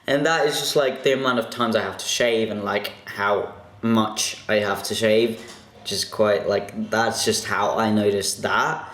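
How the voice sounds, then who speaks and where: A man's voice: lowish voice, steady tone, a little slow, fairly bright tone. Young man, casual vlog Q&A, chatty, fidgety fillers, roomy echoey sound. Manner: chatty, self-conscious, lots of fillers. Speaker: vlogger. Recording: echoey room.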